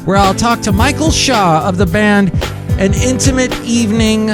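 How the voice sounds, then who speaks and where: A man's voice: sits high, normal speed, over loud music. A man hosting a comedic podcast, rambling goofily with sing-song asides. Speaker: podcast host.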